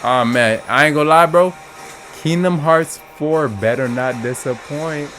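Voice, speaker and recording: A man's voice: fairly high pitch, measured pace, fairly bright tone. A man giving a casual, slangy, exclamatory live reaction as he streams game trailers. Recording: music behind the voice. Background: game trailer music.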